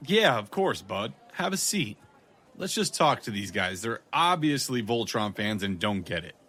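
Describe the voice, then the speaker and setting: Weary male detective, played by an actor, noir audio drama: slightly low voice, wry, blunt, sarcastic. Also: big pitch swings, unhurried, pushed and bright, husky, heavily acted. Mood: urgent, placating. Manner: sardonic, wry.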